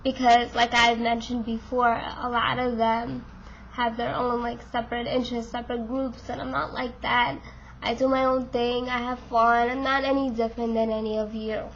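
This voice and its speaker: A woman's voice: a little high, flat delivery, normal speed, a bit bright, highs cut off, a little hiss or hum. A woman in her twenties talking to camera in a casual, frank way, full of fillers, with a stuffed-up nose and a voice she keeps losing. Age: 20s. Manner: chatty, frank, many fillers. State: congested and losing voice. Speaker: vlogger.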